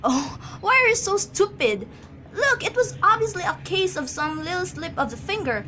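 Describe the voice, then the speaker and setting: A woman acts out a frail, imperious wife in a radio play, very high and piercingly bright. She stammers and frets, agitated and demanding. A faint noise sits on the amateur recording. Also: big pitch swings, moderate pace, full character voice. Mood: indignant, demanding. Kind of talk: amateur radio drama acting.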